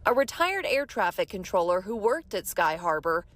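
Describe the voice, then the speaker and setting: A woman's voice: mid-range voice, very animated, moderate pace, a bit bright. An American woman working as a TV news reporter, reading a scripted package narration.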